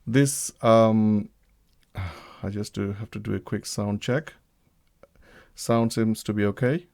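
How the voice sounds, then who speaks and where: A man from Bangladesh hosting a live-streamed talk, speaking in a hesitant way with many pauses and restarts. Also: lowish voice, fairly even intonation, gravelly, darkish tone. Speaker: livestream host. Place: home setup, living in the UK.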